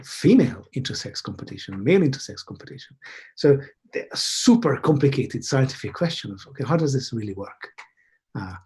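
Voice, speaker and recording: A man from Hungary, speaking as an academic guest in a halting, musing way that leans on key words, over a video call. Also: medium pitch, darkish tone, husky. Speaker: academic guest, behavioral scientist. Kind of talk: thoughtful interview conversation.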